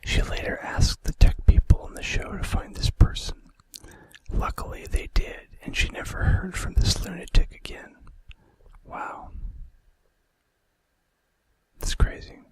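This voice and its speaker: A man narrates in a breathy whisper for an ASMR audience. His delivery is hushed and deliberate, like reading a script, with casual asides in the same unvoiced tone. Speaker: ASMR video host.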